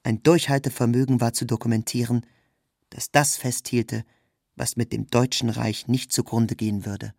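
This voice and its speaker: A man reads a scripted literary narration in a measured, formal manner. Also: medium pitch, little pitch movement, gravelly, a little mellow, dry recording, clean studio sound. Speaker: narrator, reader.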